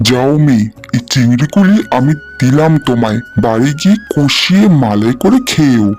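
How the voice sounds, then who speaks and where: A man's voice: medium pitch, animated, moderate pace, a little mellow, faint music behind, close to an everyday voice. A man voicing a kindly, regal shrimp king in a cartoon fairy tale, speaking in a grand, declamatory way. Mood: grateful, grand.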